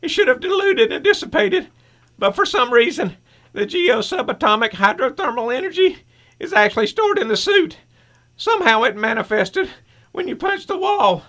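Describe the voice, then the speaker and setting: A man playing a dying, earnest scientist in a radio superhero drama, speaking in a strained, halting, urgent way. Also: extremely high pitch, big pitch swings, fairly bright tone, heavily acted. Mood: amazed, weak. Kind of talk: old-time radio superhero drama acting.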